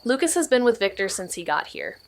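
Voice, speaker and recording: An actress playing a calm, practical amateur investigator in a noir audio drama, terse and level-headed. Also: female voice, medium pitch, brisk. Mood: subdued, pointed.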